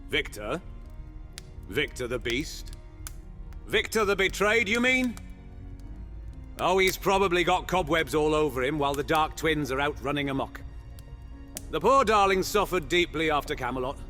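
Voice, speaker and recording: A man's voice: on the high side, expressive, moderate pace, pauses often, pushed and bright, soft background music. A man playing a chatty, zealous medieval monster hunter in a fantasy audio roleplay, with theatrical, old-fashioned phrasing. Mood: scornful.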